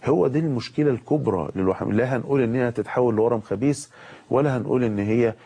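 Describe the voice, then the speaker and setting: Egyptian doctor guy w a cold, talks fast and calm, lots of pauses. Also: medium pitch. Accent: Egyptian Arabic. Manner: calm, explanatory, with frequent pauses and fillers. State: has a cold. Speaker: pediatric surgeon. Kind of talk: explanatory medical talk.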